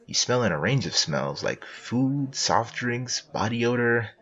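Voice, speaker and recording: A man playing a playful second-person narrator, reading scripted comic narration in a husky voice. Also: medium pitch, big pitch swings, measured pace, a bit bright, lo-fi sound. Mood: scene setting.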